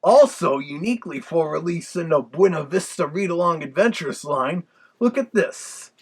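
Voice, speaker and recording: A man voicing a chatty, nostalgic big bad wolf with a gravelly, husky voice, giving a folksy casual review. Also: fairly high pitch, animated, moderate pace. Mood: amused.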